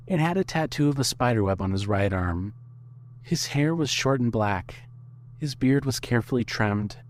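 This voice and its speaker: A man's voice: mid-range voice, darkish tone. A man reading scripted story narration as the narrator of an audio fiction piece. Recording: faint noise and hum.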